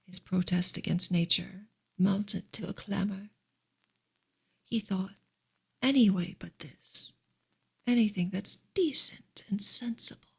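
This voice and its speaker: Breathy, whispering woman reading softly. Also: mid-range voice, slow, pauses often. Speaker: narrator reading a novel aloud. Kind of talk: soft-spoken ASMR book reading.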